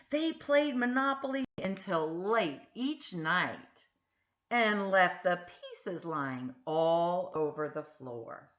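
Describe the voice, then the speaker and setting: A woman reading a picture book aloud to children in an expressive, emphatic storytelling style. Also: medium pitch, dull audio. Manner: animated, deliberate, emphatic phrasing.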